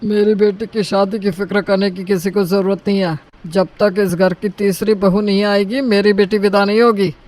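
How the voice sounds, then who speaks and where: A woman's voice: medium pitch, little pitch movement, normal speed, darkish tone, natural voice. Woman playing a stern middle-aged mother-in-law. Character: stern, sarcastic mother-in-law doting on her daughter. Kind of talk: Hindi moral-story cartoon dialogue.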